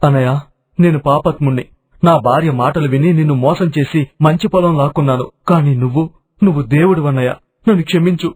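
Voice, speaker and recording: Man acting a fretful, higher-voiced younger brother. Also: fairly quick, darkish tone, breathy edge. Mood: remorseful. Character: weak, debt-ridden younger brother, blaming and remorseful. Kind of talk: acted moral-story dialogue.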